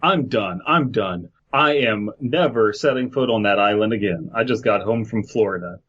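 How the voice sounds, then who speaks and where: A man's voice: fairly low pitch, moderate pace, a bit bright, slightly rough, muffled recording. A man co-hosting a podcast, reading a horror story aloud with casual, crude, joking banter. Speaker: podcast co-host.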